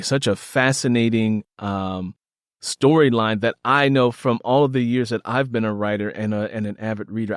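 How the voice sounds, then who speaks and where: A man's voice: mid-range voice, dry recording, studio quality. A man hosting a podcast interview in an enthusiastic, conversational way, emphatic and given to repeating words for effect.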